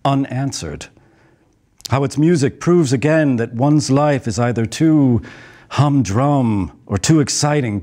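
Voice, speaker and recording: A man performing a poetic dramatic monologue as a stage actor, in a measured, pensive, theatrical way with deliberate pauses. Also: medium pitch, a little mellow.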